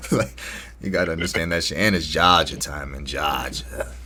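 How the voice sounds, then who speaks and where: Bright-voiced young man joking around. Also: a bass voice, moderate pace. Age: 20s. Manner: joking, self-mocking, laughs often. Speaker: podcast co-host. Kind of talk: casual podcast chat.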